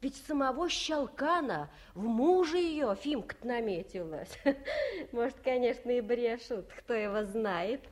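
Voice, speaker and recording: An actress playing a gossipy young village wife in a 1950s radio play, talking in folksy rural speech and giggling often. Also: female voice, fairly high pitch, animated, a little slow.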